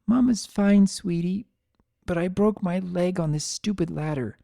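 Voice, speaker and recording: A kindly mother mare, voiced by a story narrator, practical and reassuring. The voice is raised in pitch, motherly and coaxing, in a dry cartoon-pony reading. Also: measured pace, gravelly, dark tone, no room sound.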